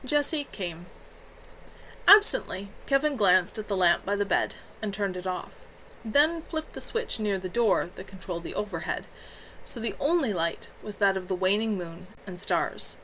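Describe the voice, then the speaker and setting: A woman, an author, reading her own novel aloud on a live stream from home, in a gentle, unhurried way. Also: mid-range voice, expressive, slight background noise, rough recording.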